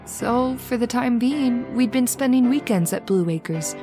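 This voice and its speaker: An actress playing a devoted young married daughter in an old-time radio horror drama, with an earnest, melodramatic delivery. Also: female voice, fairly high pitch, expressive, measured pace, over music. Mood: sorrowful, haunted.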